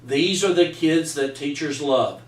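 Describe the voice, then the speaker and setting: A man's voice: fairly high pitch, unhurried. A middle-aged man, a teacher earnestly reading his own story aloud and stumbling over some words. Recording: echoey room, distant microphone.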